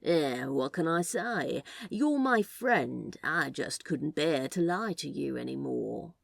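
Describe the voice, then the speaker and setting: A woman's voice: deep voice, lively intonation, takes it slowly, vocal fry, dry recording, clean studio sound, exaggerated voice. A woman voicing a sly, laid-back male office worker who plays at being a spy, with a drawling, hesitant, conspiratorial manner. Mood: teasing.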